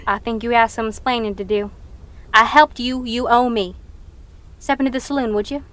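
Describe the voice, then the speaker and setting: Woman acting a shrewd Old West townswoman, highish voice. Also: fairly even intonation, moderate pace, fairly bright tone, natural voice. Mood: suspicious. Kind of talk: western audio drama acting.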